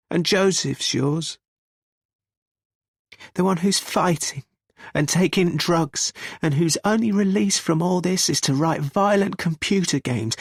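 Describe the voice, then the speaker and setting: A male narrator performs a defiant man full of cold contempt in an audiobook. The voice sits raised and pushed, with deliberate, taunting phrasing and a dry, close sound. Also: on the high side, vocal fry, no room sound, pitched above the performer's own voice. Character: younger rival man, contemptuous, defiant, coldly accusing. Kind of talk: audiobook character dialogue.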